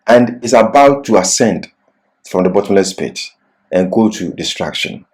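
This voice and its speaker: Slow, low-voiced man teaching scripture. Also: darkish tone. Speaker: Bible class teacher. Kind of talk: religious seminar lecture.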